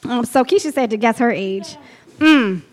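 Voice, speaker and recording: A woman's voice: a little high, animated, moderate pace. A woman in her thirties hosting a radio talk show, bubbly and laughing often. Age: 30s. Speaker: host. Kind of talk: casual radio chat.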